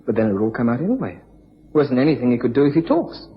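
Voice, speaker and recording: An actor playing a desperate blackmailed husband in a radio drama, protective and resolute, speaking haltingly with grim determination. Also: male voice, medium pitch, big pitch swings. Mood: despairing. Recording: muffled, echoey room, long reverb, faint noise.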